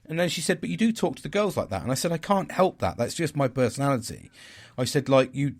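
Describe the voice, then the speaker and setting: A man with a deep, loud voice, hosting a podcast and talking through a personal reflection in a rambling, candid way, swearing now and then. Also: lively intonation, quick pace. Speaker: podcast host. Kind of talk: casual reflective monologue.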